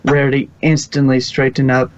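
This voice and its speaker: A man's voice: medium pitch, monotone, moderate pace, slight background noise. A man narrating a fan fiction story aloud as an amateur reader, in storybook style. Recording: dull, lo-fi home microphone.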